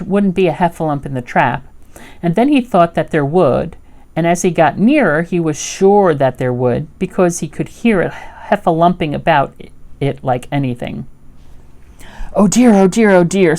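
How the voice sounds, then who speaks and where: A woman reading a children's story aloud as an expressive storyteller. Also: low voice.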